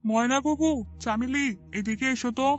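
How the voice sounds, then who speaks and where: A man's voice: extremely high pitch, moderate pace, lo-fi sound. A man voicing a curious, wary villager in a cartoon drama.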